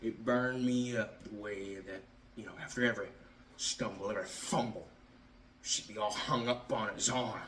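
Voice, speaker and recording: A man performing a stage monologue as a bitter, drunken Southern ex-athlete confronting his father, halting and repeating his words. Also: fairly low pitch, steady tone, fairly bright tone, close to an everyday voice. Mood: resentful. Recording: roomy, distant mic, faint noise.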